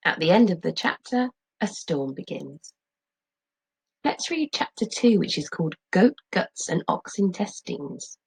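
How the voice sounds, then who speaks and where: A middle-aged woman teaches and reads aloud with expressive phrasing. Her voice sits a little low, with a husky edge and a lively, moving pitch. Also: a little slow, poor audio. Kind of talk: explaining lesson and expressive story reading.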